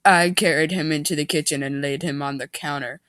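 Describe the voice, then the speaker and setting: A man's voice: a little high, fairly even intonation, brisk, a bit bright, no echo. A man doing a mocking amateur reading of a story, with sarcastic asides and an exaggerated delivery.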